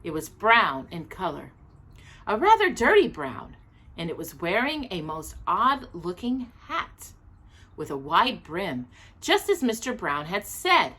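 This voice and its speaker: A woman reading a children's book aloud in an expressive, deliberate way with drawn-out emphasis. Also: mid-range voice, husky. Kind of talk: expressive read-aloud children's story narration.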